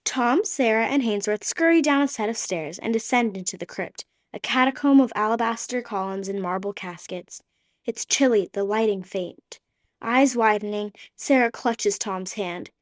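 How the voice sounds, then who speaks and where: A woman's voice: on the high side, normal speed. A woman narrating a radio play in a vivid, dramatic scripted style.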